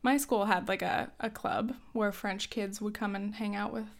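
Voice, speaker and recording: A young woman in her twenties giving casual advice in a chatty way, with many fillers and small laughs, a little hoarse from coughing. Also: mid-range voice, steady tone, moderate pace. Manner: chatty, lots of "like" and "um", laughs often. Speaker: advice host.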